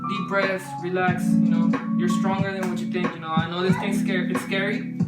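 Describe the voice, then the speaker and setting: A woman reading a children's story aloud and offering casual, gentle encouragement as a parent. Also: on the low side, level tone, fairly quick. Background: music.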